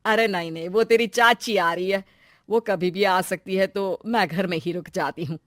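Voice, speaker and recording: A woman's voice: mid-range voice, steady tone, moderate pace, no echo, studio quality, close to an everyday voice. A woman voicing a fond, fretful older mother-in-law in a family moral story, speaking emphatically. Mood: fond, fussy.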